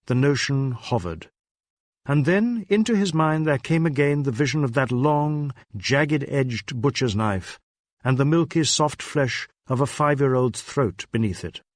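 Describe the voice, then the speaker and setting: A man reading a crime novel as scripted dramatic narration, in a measured, literary storytelling manner. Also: mid-range voice, no echo, studio quality. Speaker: audiobook narrator.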